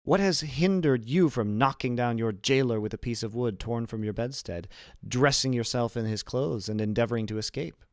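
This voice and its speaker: A man's voice: mid-range voice, moderate pace, a little mellow, dry recording, close to an everyday voice. Male narrator as elderly scholarly abbé, storybook prisoner, patient, principled, didactic, formal phrasing, dry recording. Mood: earnest, lecturing. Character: learned, patient, principled old abbé imprisoned for years. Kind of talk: audiobook character reading.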